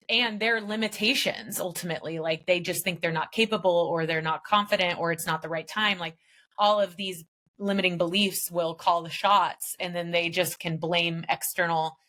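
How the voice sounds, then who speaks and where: A woman with a slightly low voice talks as a podcast guest. She is emphatic and casual, stacking point after point with conviction and now and then dropping a mild swear. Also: fairly bright tone. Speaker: guest, business founder. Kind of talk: motivational interview talk.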